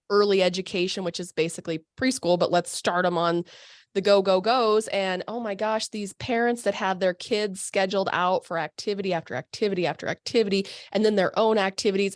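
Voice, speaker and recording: A woman's voice: mid-range voice, no room sound, studio quality. Bubbly woman podcast host. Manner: bubbly, effusive, lively exclamations. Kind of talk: casual interview chat. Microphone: video call microphone.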